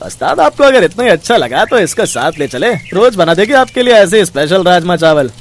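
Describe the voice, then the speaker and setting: Eager cartoon henchman, acted by a man, inquisitive, raised pitch, slight noise. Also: sing-song, brisk. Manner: inquisitive, fawning. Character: eager, pestering junior gangster sidekick. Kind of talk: Hindi cartoon moral story.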